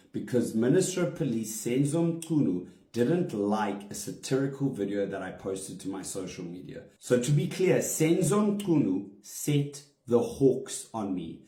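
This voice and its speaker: A man's voice: mid-range voice, mellow. Indignant man, roomy sound. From South Africa. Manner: indignant, emphatic, stressing single words. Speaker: comedian. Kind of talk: direct-to-camera statement. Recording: roomy echo.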